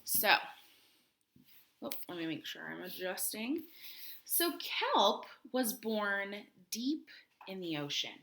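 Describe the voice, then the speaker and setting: A woman speaks as a primary school teacher leading storytime. Her pitch is average and her pace is slow. She addresses young listeners directly, mixing reading with guiding questions and prompts. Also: big pitch swings, creaky, a bit bright. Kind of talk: read-aloud storytime for young children.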